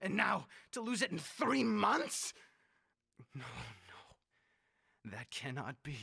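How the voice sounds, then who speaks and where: An actor playing an ailing, tyrannical old dictator in a radio drama, imperious and demanding, with a raspy voice. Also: male voice, high voice, very animated, slow, heavily acted. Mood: anguished, brooding. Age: older. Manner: imperious, demanding, erupting from brooding into shouts.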